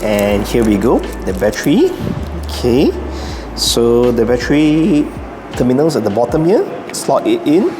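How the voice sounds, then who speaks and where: Male YouTube host, mid-pitched, casual and explanatory, recorded in a reverberant room over clear background music. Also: very animated, unhurried. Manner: explanatory, demonstrating step by step. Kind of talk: casual unboxing and setup guide. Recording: echoey room with long reverb, music behind.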